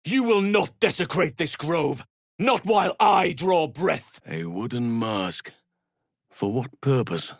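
An actor playing a gentle, grave elf druid in a fantasy game, speaking in a solemn, measured and formal way. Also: male voice, a little high, animated, no room sound, clean studio sound, full character voice. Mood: fierce. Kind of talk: dramatic fantasy game voice acting.

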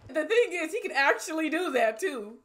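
A woman in her twenties giving casual, slangy, animated reaction commentary and swearing freely. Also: high-pitched, normal speed. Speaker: movie reaction host. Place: small indoor room set up as a streaming studio.

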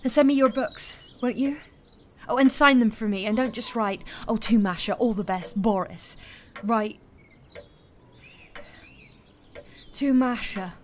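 A woman acting a high-voiced, world-weary young woman. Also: slight background noise, natural voice. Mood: wistful, warm. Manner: blunt, wry, confiding. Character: frank, world-weary, lovelorn young woman who drinks. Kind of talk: naturalistic audio drama acting.

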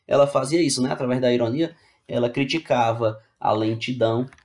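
A man's voice: medium pitch, steady tone, a little fast, darkish tone. Male teacher explaining slides casually. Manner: explains casually, checks in with "né?" and "tá bom?"; reads slide text aloud, then rephrases it in plain words. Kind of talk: online class lecture over slides.

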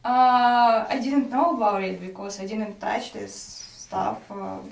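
A woman in her twenties, a patient in pain, giving brief halting replies and moans. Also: mid-range voice, fairly even intonation, creaky, slight background noise, rough recording. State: in pain, moaning. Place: small carpeted chiropractic office.

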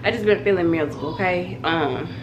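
A young woman talks to her viewers through a cold, her voice stuffy and nasal. She rambles on in a chatty, relaxed way, and her low-pitched voice is caught by a phone held at arm's length. The recording carries a clear hiss of background noise from the house. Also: fast talker, highs cut off. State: congested with a cold. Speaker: family vlogger. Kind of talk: casual vlog chat to camera. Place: bedroom at home, indoors. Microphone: phone camera held at arm's length. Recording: noisy home phone recording.

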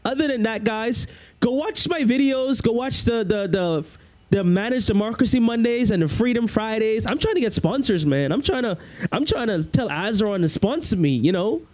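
Young Bahamian man, a game streamer on a headset at home, with a fairly high voice and a loose, repetitive way of talking. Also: lively intonation, moderate pace, a little mellow. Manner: loose, rambling, repeats phrases. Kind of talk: casual livestream chat with viewers. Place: small room at home, indoors.

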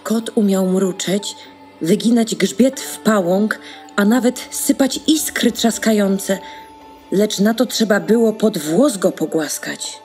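A woman with a husky voice narrating a scripted fairy tale as a storyteller. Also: medium pitch, slow pace, a little mellow, soft background music.

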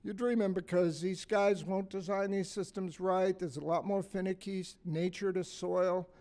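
An older man in his sixties gives a conference talk at a podium mic, his voice slightly raspy and pitched high for a man. He speaks bluntly and folksily, joking and putting questions to the room. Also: fairly even intonation, moderate pace, a little mellow. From Massachusetts, USA. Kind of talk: conference talk to a live audience. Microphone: stand mic on a podium.

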